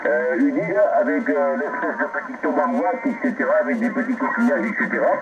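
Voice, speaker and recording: A man's voice: high-pitched, very animated, brisk, creaky. A man from France chatting casually over amateur radio, rambling with many fillers and restarts. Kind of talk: casual radio contact chat. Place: home radio station. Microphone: received over a radio transceiver. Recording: lo-fi radio reception with loud noise.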